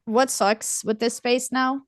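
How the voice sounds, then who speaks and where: A woman's voice: on the high side, slow pace, no echo, clean studio sound. A woman talking passionately and animatedly in a podcast interview, acting out what others say. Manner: passionate, animated, quotes people in voices. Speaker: non-profit board member and content creator.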